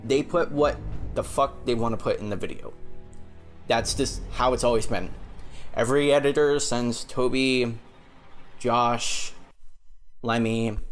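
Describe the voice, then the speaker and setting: Plain-spoken man over faint music. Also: mid-range voice. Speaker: video editor. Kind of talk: explanatory personal statement.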